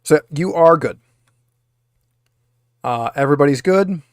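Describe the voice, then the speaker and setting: A man's voice: a little high, animated, measured pace, dry recording, clean studio sound. A man running a tabletop roleplaying game as game master, explaining rules and guiding players step by step.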